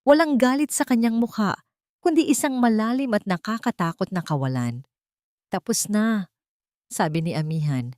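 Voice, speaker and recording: A woman's voice: mid-range voice, a little fast, darkish tone, dry recording, clean studio sound. A woman reading a scripted dramatic story as an audiobook narrator.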